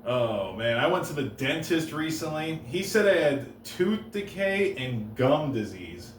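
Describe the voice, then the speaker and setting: A man doing deadpan one-liner stand-up comedy on a stage, halting and restarting between jokes. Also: a little high, a little hiss or hum. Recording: roomy live room, heard from a distance.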